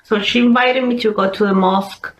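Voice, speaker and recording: A young woman from Peru with a Peruvian Spanish accent, telling her personal story in an unhurried way, with many pauses and fillers. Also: mid-range voice, steady tone, low-quality recording. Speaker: convert sharing her story. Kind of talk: personal testimony monologue.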